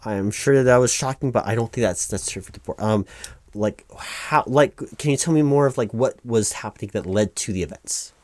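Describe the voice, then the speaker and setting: A man's voice: medium pitch, a little fast, a little mellow, dry recording, close to an everyday voice. A man playing a flustered, apologetic office clerk in a one-sided ASMR roleplay, hesitant and rambling as he corrects himself. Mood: patient, explanatory. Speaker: claims paperwork interviewer.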